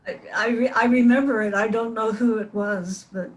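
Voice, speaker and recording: A woman's voice: on the high side, fairly even intonation, normal speed, rough recording. An older American woman reminiscing casually as a panel guest, hesitant as she recalls details. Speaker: panel guest, former child radio actress.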